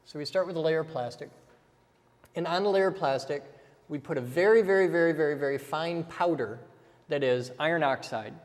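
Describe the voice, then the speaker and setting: A man's voice: on the high side, brisk, long reverb tail. A man teaching step by step in a casual way, often checking in with "okay?" as he goes. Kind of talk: casual technical explanation while drawing.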